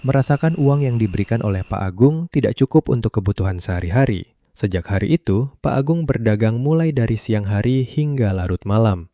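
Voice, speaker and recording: Man, storyteller narration, calm and measured, low mellow voice, dry close sound. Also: dry recording.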